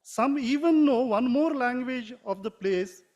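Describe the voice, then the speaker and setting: A man from Mangalore with an Indian English accent, a native Konkani speaker, reading a formal prepared talk in a measured way, now and then correcting himself. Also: extremely high pitch, a little mellow. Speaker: writer giving a talk.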